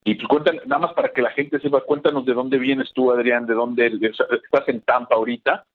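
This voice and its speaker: A male interview host asking questions in a hesitant, halting way. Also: medium pitch, fairly even intonation, no room sound. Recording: dry, tinny phone-like line.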